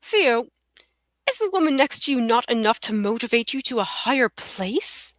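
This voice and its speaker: A florid romantic poet read by a woman speaks in a high, very animated voice with little room sound. The delivery is theatrical and lyrical, like stage drama. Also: normal speed, no room sound. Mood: challenging. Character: florid, romantic male poet, idealistic and indignant. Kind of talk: cold table read of a stage play.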